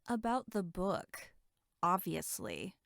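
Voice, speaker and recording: A woman acting as a sharp-tongued, sarcastic young camp counselor in an audio drama. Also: on the low side, sing-song, slow, creaky, no room sound, studio quality, full character voice. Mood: sarcastic disbelief.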